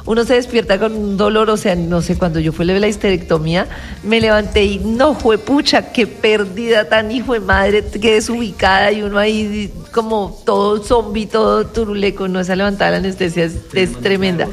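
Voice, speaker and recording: A woman hosting a radio show, chatting casually and telling stories with quick asides. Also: medium pitch, steady tone. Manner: chatty, quick, laughing storyteller. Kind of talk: casual on-air chat.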